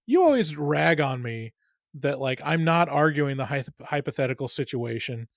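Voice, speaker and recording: A man's voice: on the high side, lively intonation, moderate pace, gravelly, no echo, clean studio sound. A man co-hosting a podcast, talking casually and tentatively as he thinks aloud. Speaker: podcast co-host.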